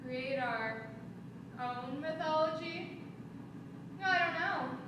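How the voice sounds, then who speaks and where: A woman's voice: sits high, animated, slow pace. A woman on a community theater stage, playing a coy goddess of love. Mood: coy, teasing. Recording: distant echoey stage, noisy.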